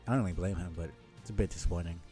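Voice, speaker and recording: Low-voiced, mellow man streaming casually. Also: racing, faint music behind. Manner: blunt, frequently swearing, rambling. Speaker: streamer reading a webcomic aloud. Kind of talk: casual live-stream commentary and read-aloud.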